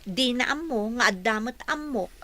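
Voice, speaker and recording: An actress with an Ilocano accent playing an earnest, respectful young employee in a radio drama. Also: female voice, fairly high pitch, lively intonation, moderate pace, bright, forceful tone. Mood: confiding, determined. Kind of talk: Filipino radio drama acting.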